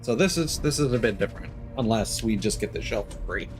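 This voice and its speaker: Game streamer, man, low-ish voice, loose rambling commentary full of fillers, faint music behind. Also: animated, fairly quick. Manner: thinking aloud, rambling with many ums and restarts. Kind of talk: casual gameplay commentary.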